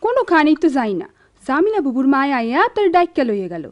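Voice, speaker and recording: A woman's voice: high-pitched, big pitch swings, fast. A woman playing an outspoken young village sister in a radio drama, protesting, with a rural Bangladeshi accent.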